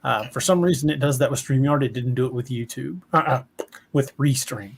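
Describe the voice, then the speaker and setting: A man co-hosting a livestream in casual chat, with a slightly husky voice and a halting way of repeating words as he explains. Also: mid-range voice. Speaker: podcast livestream co-host.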